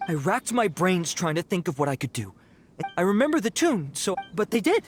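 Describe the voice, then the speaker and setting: A woman's voice: on the low side, sing-song, fairly quick, soft background music. A woman voicing a brash but cowardly female bandit in a video game, with a dramatic manner. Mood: flustered, apologetic. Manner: blustering, then pleading.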